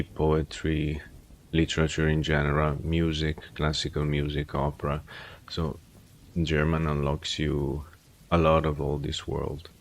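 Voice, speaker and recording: A man streamer chatting casually and giving reflective, rambling advice on learning languages, often restarting his sentences. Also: a bass voice. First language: English. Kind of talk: casual livestream chat.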